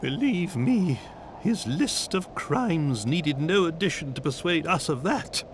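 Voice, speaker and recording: An actor playing a wry, theatrical gentleman adventurer in a video game, wordy and droll. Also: on the high side, animated, slow pace, slight background noise. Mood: exasperated. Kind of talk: video game cutscene acting.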